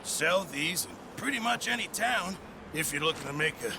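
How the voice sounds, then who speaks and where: A man playing a boozy, chummy old camp cook with a gravelly, excitable, deferential manner in a western video game. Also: normal speed, lightly acted. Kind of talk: video game cutscene acting. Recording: noisy outdoor ambience, rumble behind.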